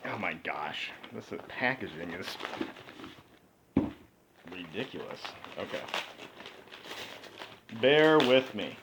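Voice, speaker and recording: Deep-voiced man chatting through an unboxing. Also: slow pace, long pauses, heavy background noise. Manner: relaxed, rambling, upbeat with fillers and asides. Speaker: music collector vlogger. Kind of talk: casual unboxing chat.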